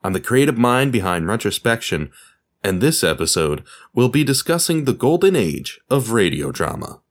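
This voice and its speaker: A man's voice: mid-range voice, big pitch swings, moderate pace. A man presenting a podcast as its host, reading scripted narration in an explanatory way. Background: music.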